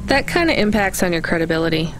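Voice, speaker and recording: A woman's voice: lowish voice, big pitch swings, fast talker, background noise. A woman playing a wry, conscientious young delivery driver in naturalistic, conversational video game dialogue.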